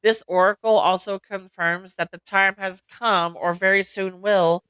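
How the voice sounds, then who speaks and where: A woman reading oracle card meanings aloud as host, in a measured, deliberate way with an occasional stumble. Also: mid-range voice, fairly even intonation, a bit bright, clear, resonant tone, dry recording.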